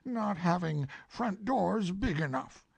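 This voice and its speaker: A woman voicing a gentle, simple male teddy bear who speaks in a slow, plaintive way. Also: mid-range voice, animated, gravelly, breathy edge, dry recording, voice pushed up, full character voice.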